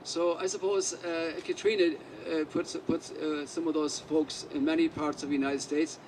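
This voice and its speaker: High-voiced Irish man telling stories. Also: little pitch movement, moderate pace, darkish tone, distant mic, slight background noise, low-quality recording. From Ireland. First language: Irish Gaelic. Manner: rambling, anecdotal storytelling. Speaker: musician. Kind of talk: press conference remarks. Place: press conference.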